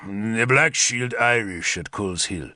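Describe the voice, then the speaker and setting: A narrator plays a stolid commander with a thick, clumsy accent, slow and blunt in his questions. The sound is close and dry. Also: fairly low pitch, animated, bright, forceful tone, no echo, exaggerated voice. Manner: terse, blunt, heavily accented.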